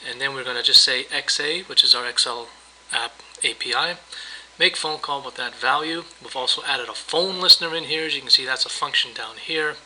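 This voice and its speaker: A man presenting a software tutorial, narrating a screen demo in a casual, explanatory, step-by-step way. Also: medium pitch, brisk, a little hiss or hum, rough recording. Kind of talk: screencast demo narration, semi-improvised walkthrough.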